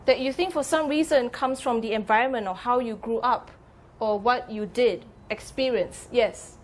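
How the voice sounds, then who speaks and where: A woman's voice: a little high, normal speed, slight background noise. A woman lecturer leading a classroom discussion, probing students with follow-up questions. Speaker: psychology lecturer. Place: lecture room.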